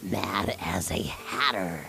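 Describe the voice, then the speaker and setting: A man voicing mad, taunting demonic creatures with a shrill, creaky edge and sing-song, repetitive teasing. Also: expressive, slow pace, over music, exaggerated voice. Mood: gleeful teasing.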